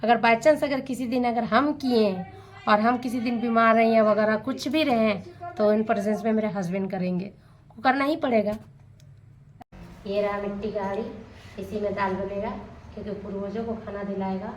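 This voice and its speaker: A young woman, hoarse from a sore throat, chatting candidly in a village vlog while she cooks. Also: medium pitch, steady tone, moderate pace, roomy sound. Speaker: vlogger. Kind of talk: casual vlog narration while cooking. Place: village home kitchen.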